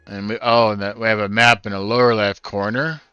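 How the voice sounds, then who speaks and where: A man casually narrates his playthrough, musing aloud as he goes. His voice is low and clear, recorded dry with game music behind it. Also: little pitch movement, fairly quick, sharp tone, no room sound, highs cut off. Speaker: gaming streamer. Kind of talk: casual first-time playthrough commentary.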